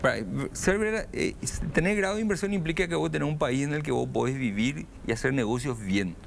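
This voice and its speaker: A man's voice: fairly high pitch, fairly quick, vocal fry, slight background noise. A man, a guest making an argumentative case with rhetorical questions. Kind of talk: political discussion.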